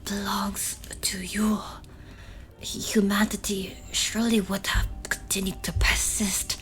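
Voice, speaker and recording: A protective shamaness, played by a woman, whispers breathily with gentle, pleading resolve. The words come slowly and softly, as in a fantasy ASMR story. Music sits faintly behind the whisper. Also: medium pitch, little pitch movement. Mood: reproachful. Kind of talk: scripted fantasy ASMR roleplay.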